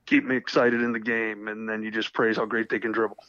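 Male co-host, medium pitch, mildly hoarse, talkative and keen, lo-fi dry podcast sound. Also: level tone, brisk, fairly bright tone, no echo. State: slightly hoarse. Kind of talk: casual podcast chat. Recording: dull, lo-fi sound.

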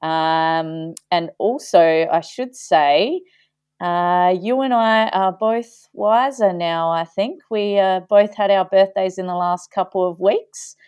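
A woman's voice: medium pitch, slow pace, darkish tone, clean tone, no echo, muffled recording. A woman co-hosting a sports nutrition podcast, chatting casually and laughing often. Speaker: podcast co-host.

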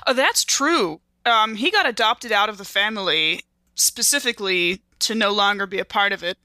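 A woman's voice: medium pitch, lively intonation, normal speed, sharp tone, no room sound. A woman playing a tabletop game, improvising playful in-character banter in a wry, theatrically wordy way.

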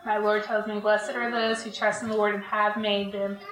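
Woman, testimony speaker, solemn and even delivery, flat intonation, echoey room with background noise. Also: mid-range voice, normal speed. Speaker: testifying program member. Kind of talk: scripted personal testimony.

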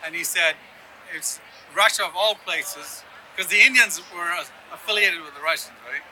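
A man's voice: on the high side, moderate pace, pushed and bright, slight background noise, poor audio. A man from Ghana and East Africa giving opinionated political explanations in an interview, often checking in with "right?". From born in Ghana, grew up in Sudan, Yemen and Ethiopia. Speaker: interview guest, conservative activist.

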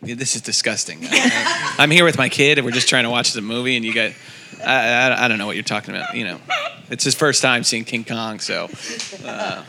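A woman's voice: rumbling low, lively intonation, brisk, noisy background. A woman playing an exasperated, prim, flustered parent in an improvised comedy radio play. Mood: flustered, offended.

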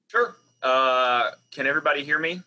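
Bright-voiced Southern man on a call. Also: fairly high pitch, normal speed, dull audio. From Southern United States. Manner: chatty, self-deprecating storyteller with restarts. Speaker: biblical scholar, professor. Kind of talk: online panel discussion. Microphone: video call.

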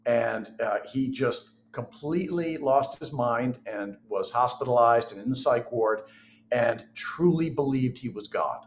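A man's voice: medium pitch, lively intonation, measured pace, a little hiss or hum. A middle-aged man telling personal stories candidly and conversationally as an interview guest.

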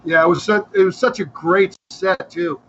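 A man's voice: high-pitched, steady tone, moderate pace, pushed and bright. A man from the cast reminiscing casually, rambling and restarting his phrases. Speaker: cast member, guest. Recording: dull audio with faint background noise.

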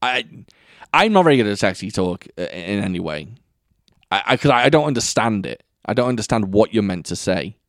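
A man's voice: on the low side, sing-song, a little fast, no room sound, clean studio sound. A man co-hosting a casual chat, giggling a lot and stammering. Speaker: podcast co-host.

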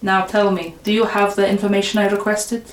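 A woman's voice: medium pitch, flat delivery, brisk, lightly acted. A woman playing a stern, middle-aged fantasy noblewoman with clipped, commanding speech in an audio story. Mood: stern, probing. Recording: roomy echo, faint noise.

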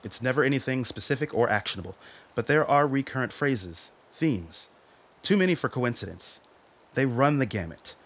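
An actor playing a dutiful military major reporting to a superior in a radio play, formal, clipped and serious. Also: male voice, mid-range voice, lively intonation, normal speed. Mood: concerned.

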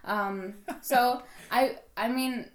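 A woman's voice: a little high, steady tone, extremely slow, echoey room. A young woman from Hong Kong being interviewed in casual conversation, talking with frequent hesitations and restarts. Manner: hesitant, many restarts and fillers.

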